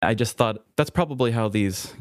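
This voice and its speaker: A man's voice: lowish voice, moderate pace, no echo, clean studio sound. A man co-hosting a podcast, telling stories in a casual, comedic chat style. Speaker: podcast co-host.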